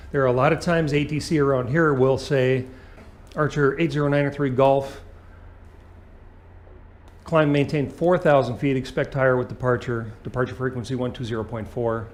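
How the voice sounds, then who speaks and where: A man's voice: medium pitch, a little mellow. A man teaching an aviation lesson as a flight instructor, explaining things and checking understanding with short questions.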